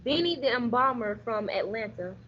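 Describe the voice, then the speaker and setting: A woman's voice: fairly high pitch, lively intonation, normal speed, fairly bright tone. A woman playing a gangster showing off the crew in a comic radio play. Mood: showy. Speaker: school radio drama actor. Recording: distant, dull, faintly noisy audio.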